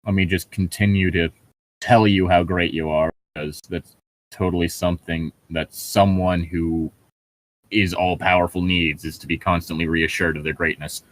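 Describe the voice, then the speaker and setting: A man's voice: low-pitched, fairly even intonation, moderate pace, rough recording. A man in a casual, opinionated chat, talking in a rambling, sweary way.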